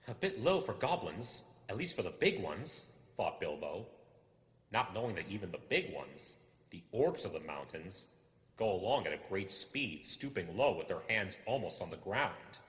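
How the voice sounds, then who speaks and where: Animated male storyteller in deep reverb. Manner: suspenseful, theatrical phrasing. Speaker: audiobook reader. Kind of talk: dramatic scripted storytelling. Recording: echoey, long reverb.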